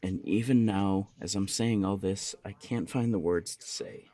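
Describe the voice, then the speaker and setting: A man playing a jealous, lovesick young punk roommate in an ASMR roleplay audio drama, speaking in a halting, confessional way with swearing. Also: lowish voice, warm tone, close to an everyday voice. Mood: agitated, defensive.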